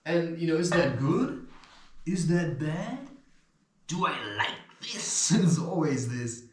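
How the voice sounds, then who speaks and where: A man's voice: on the high side, animated, slow pace. A man with an occasional stutter giving loose, rambling spiritual advice, swearing and chuckling as he talks. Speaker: self-help video host. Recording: echoey room.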